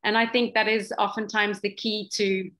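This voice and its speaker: A woman's voice: mid-range voice, level tone, normal speed, sharp tone. A woman in her forties, from Switzerland, with a slight Australian twang, speaking as guest on a video call. She talks earnestly and with passion, with many fillers and restarts. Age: 40s. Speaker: guest speaker, social business founder. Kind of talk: online talk with a moderator.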